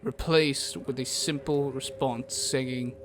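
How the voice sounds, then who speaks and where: Mid-pitched man narrating fantasy. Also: fairly even intonation, slow pace, a little hiss or hum. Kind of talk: scripted fantasy story narration.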